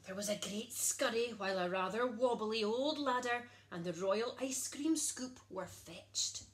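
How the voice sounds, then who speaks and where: A woman, reading a children's picture book aloud in an animated storytelling style. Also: fairly high pitch, unhurried. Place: small child's bedroom, indoors. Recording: echoey small room.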